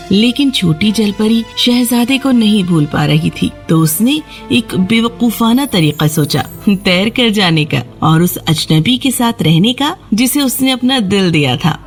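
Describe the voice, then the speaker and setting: A woman's voice: medium pitch, animated. A woman storyteller reading a scripted fairy tale narration for children. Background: background music.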